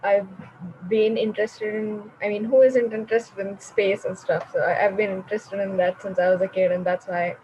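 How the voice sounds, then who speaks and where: A woman's voice: mid-range voice, level tone, moderate pace, a little mellow, dull audio, slight background noise. A young woman from Delhi, a student chatting upbeat in an online class. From Delhi, India. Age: young adult.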